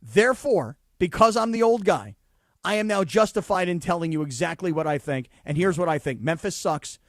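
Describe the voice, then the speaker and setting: Brief: forties male talk radio co-host, sarcastic, slightly high voice, dry studio sound. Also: animated, moderate pace, dry recording. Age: 40s. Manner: exasperated, sarcastic, mimics others. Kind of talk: sports talk radio banter. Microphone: studio microphone.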